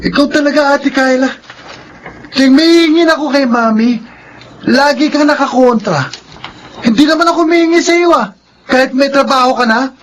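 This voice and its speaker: An actor playing a cheeky, wheedling teenage student son in a Filipino radio drama. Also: male voice, extremely high pitch, normal speed, pauses often, fairly bright tone, a little hiss or hum, poor audio. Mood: defensive, indignant.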